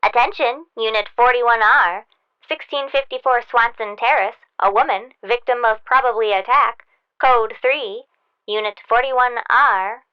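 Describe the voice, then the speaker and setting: A woman playing a brisk police dispatcher giving clipped, official calls through a radio filter in an audio drama. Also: a little high, clean tone. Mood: official, detached. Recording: muffled, band-limited police radio sound.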